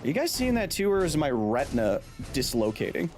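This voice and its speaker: A man doing live gameplay commentary, goofy and excitable, who shouts at the game. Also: on the high side, a little fast, over music. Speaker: streamer.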